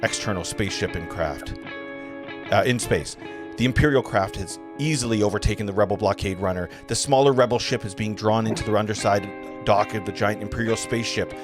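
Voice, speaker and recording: Man narrating script over guitar music. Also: on the low side. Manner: halting, stumbling over the script. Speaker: narrator reading stage directions. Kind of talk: live table read of a film script.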